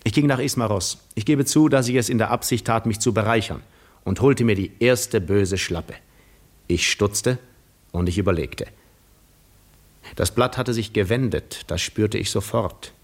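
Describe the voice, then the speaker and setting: An actor playing a weary, reflective Greek war hero in a 1950s radio drama, telling his own story in measured, literary, ironic phrases. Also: fairly low pitch. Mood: wry, reflective.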